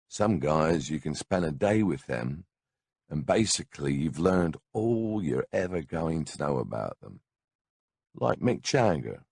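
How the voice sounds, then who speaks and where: A man with a gravelly, husky voice narrates a memoir audiobook in a laid-back, blunt, wry, conversational storytelling way on a close microphone. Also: deep voice, a little mellow, no echo.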